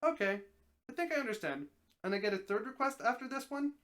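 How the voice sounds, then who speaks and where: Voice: mid-range voice, animated, a little fast, a bit bright, voice pushed up, close to an everyday voice. A reader voicing an ordinary man in a story, hesitant and casual, wary but hopeful and prone to mild grumbling.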